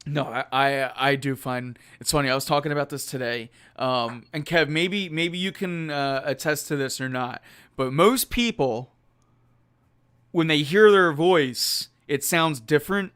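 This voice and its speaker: A man hosting a casual live stream from a quiet home room on a condenser mic, rambling and self-deprecating with frequent laughs. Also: on the high side, measured pace, clean tone. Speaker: host of a live stream show. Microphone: USB condenser mic.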